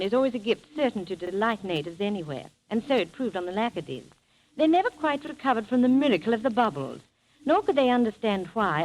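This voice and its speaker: Animated, higher-voiced woman, 1930s radio guest. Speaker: guest traveller and film-maker. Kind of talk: scripted 1930s radio interview, travel storytelling. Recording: faintly noisy 1930s radio broadcast.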